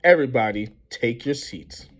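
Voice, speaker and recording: A man voicing a brisk, annoyed female middle school teacher who loudly herds pupils in a storybook reading. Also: mid-range voice, expressive, natural voice. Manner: loud, insistent, commanding.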